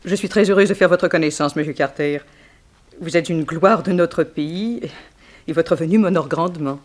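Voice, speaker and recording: A woman's voice: lowish voice, moderate pace, lightly acted. Actress playing a wronged upper-class woman, formal, precise, genteel, guarded dignity, 1960s French radio drama. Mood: gracious, formal.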